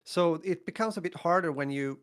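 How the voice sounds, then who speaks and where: A Swedish man whose first language is Swedish chats casually on a podcast in English. His voice sits a little high, and he stops and restarts often, repeating words as he goes. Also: a bit bright, clean tone. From northern Sweden. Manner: halting, repeats words, quick agreeing interjections. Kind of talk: casual chat.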